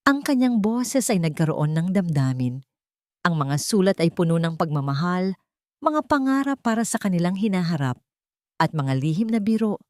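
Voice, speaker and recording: Female Filipino narrator for romantic drama audio story; low-pitched, mellow, very dry recording. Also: no room sound.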